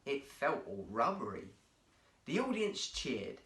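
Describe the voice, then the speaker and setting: Slow, animated male children's storyteller. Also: medium pitch. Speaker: guest storyteller. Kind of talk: expressive children's story narration. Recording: roomy, echoey indoor sound.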